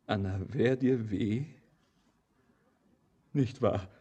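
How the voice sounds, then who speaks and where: An actor playing a haunted young stranger in a poetic radio play, declaiming in a lyrical, solemn and impassioned way with a husky voice. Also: male voice, on the low side, extremely slow, mellow, exaggerated voice. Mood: urgent, anguished. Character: haunted young wandering stranger, fervent and doom-laden.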